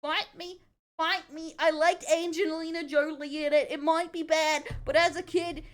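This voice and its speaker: A woman's voice: very high-pitched, steady tone, moderate pace. An Australian woman chatting casually as a streamer reacting to a video, blunt, sweary, joking and giggly. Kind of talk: casual live reaction chat.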